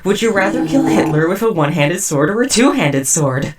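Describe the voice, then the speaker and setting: Woman voicing a robot house spouse, lower female register, formal wordy delivery, awkward, devoted, murderous streak, audio roleplay drama. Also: big pitch swings, a little fast, distant mic. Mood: earnest, awkward. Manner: stiff, verbose, overly formal wording. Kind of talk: scripted roleplay audio drama addressed to listener.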